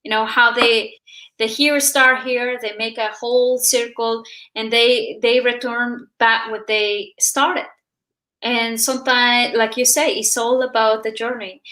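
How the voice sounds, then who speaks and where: Halting higher-voiced woman host. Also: little pitch movement, bright, forceful tone. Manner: halting, many fillers, admiring. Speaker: talk show host. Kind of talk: interview questions.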